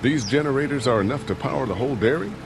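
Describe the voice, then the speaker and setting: An actor playing a steady, earnest survivor in a video game, speaking in a measured, protective way. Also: male voice, medium pitch, background noise, close to an everyday voice. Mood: businesslike.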